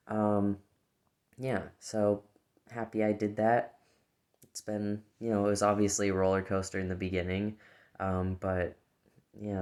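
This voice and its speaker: A transmasculine young adult man vlogging to camera in a casual, reflective monologue, hesitant and halting with many restarts. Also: fairly low pitch.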